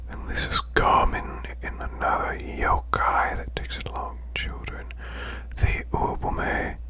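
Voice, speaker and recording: A man voicing a demon boss in a breathy, growling whisper, reading folklore slowly as soothing bedtime ASMR. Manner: slow, hushed, deliberate recitation. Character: male demon butler's boss, growling softly, soothing storyteller.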